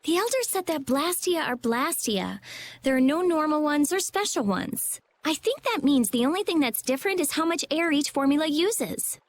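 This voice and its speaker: A woman's voice: high-pitched, animated, normal speed, no echo. A woman playing a brainy, intense, stubborn teenage girl mage in an anime-style video game. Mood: serious, explanatory.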